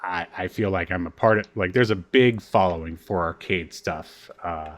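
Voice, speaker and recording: A man's voice: sits low, normal speed, noisy background. A man co-hosting a casual podcast, chatting in a rambling, joking and self-deprecating way. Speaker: podcast co-host.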